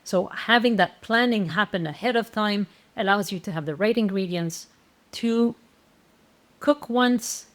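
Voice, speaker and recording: A woman's voice: mid-range voice, unhurried. A woman walking through meal plans as an instructor, in a casual, conversational manner with frequent fillers and restarts. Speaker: plant-based meal planning instructor. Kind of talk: casual explanatory walkthrough.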